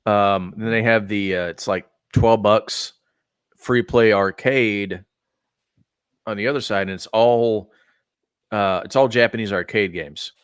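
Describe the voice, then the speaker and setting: Male podcast host from Texas, low-ish voice, loose and rambling delivery, dry close sound. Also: steady tone, unhurried, smooth, no echo. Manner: rambling, many fillers and restarts. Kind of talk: casual chat about wrestling and gaming.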